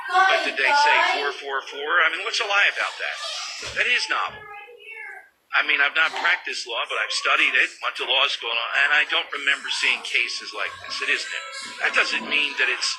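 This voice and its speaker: A man's voice: a little high, lively intonation, moderate pace, poor audio. A man hosting talk radio, giving opinionated commentary in an emphatic, conversational way with rhetorical questions. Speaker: fill-in radio talk show host. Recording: talk radio broadcast with music behind.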